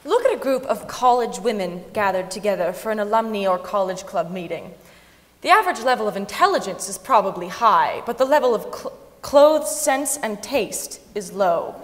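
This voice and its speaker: A woman's voice: mid-range voice, lively intonation, normal speed. A woman giving a formal, rhetorical dramatic reading of an article on stage. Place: large concert hall stage, before an audience. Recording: reverberant concert hall, faint ambient noise.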